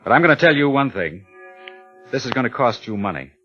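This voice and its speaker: An actor playing a brisk, curt lawyer and boss in vintage radio drama style. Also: male voice, mid-range voice, sing-song, bright, forceful tone. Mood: blunt, businesslike. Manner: businesslike, curt. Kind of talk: 1940s-50s American radio drama acting. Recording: muffled vintage radio recording, music behind.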